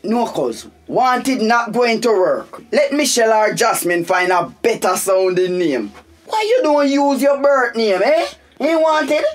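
A man's voice: extremely high pitch, animated, slow pace, full character voice. An actor playing a sceptical, teasing Jamaican man in a radio soap, with stammering starts.

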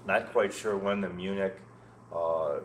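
An older American man in his sixties reminiscing conversationally as an interview guest, halting and often repeating words. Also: deep voice, fairly even intonation. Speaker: interview guest, loudspeaker maker. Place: indoor show room, seated. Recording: noticeable background noise, room ambience.

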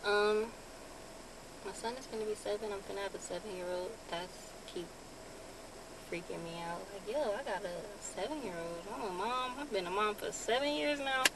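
A young woman vlogging from a parked car in a relaxed, casual way, with lots of ums. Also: medium pitch, normal speed. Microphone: phone camera on dashboard. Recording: car with clear background noise. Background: vehicle ambience.

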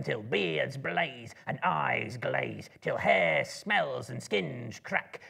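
A cruel band of goblins from a storybook. The voice is very gravelly and harsh, piercing and forceful. It chants slowly in a taunting, gleeful sing-song. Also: male voice, fairly high pitch, pitched above the performer's own voice, exaggerated voice.

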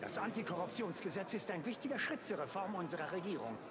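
High-voiced, indignant Victorian statesman. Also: lively intonation, fast talker, very noisy. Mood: oratorical, stiff. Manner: formal, oratorical, indignant. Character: indignant, formal Victorian statesman, a male prime minister. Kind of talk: video game acting.

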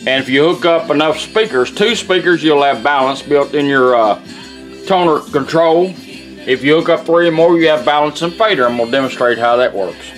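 A man's voice: fairly high pitch, moderate pace. A middle-aged or older man talks in a folksy, plain-spoken way, casually demonstrating a product hands-on. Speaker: vintage car radio restorer and product demonstrator. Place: indoors at a workbench. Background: music and radio playing.

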